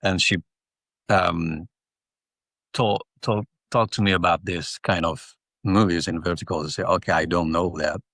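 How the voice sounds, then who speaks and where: Deep-voiced man, halting non-native English. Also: steady tone, fairly bright tone, dry recording, studio quality. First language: not English. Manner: halting, repeats words while searching for English. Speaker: actor and interview guest. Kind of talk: casual interview answers.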